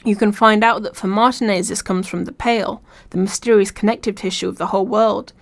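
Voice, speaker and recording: A woman's voice: mid-range voice, fairly even intonation, fairly quick. A woman reading scripted video essay narration in a wry, informal way.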